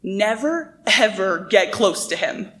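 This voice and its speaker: A woman playing a hesitant young woman steeling herself in an audio drama, speaking in a measured, tentative way. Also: medium pitch, expressive, fairly bright tone. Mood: tense, resolute.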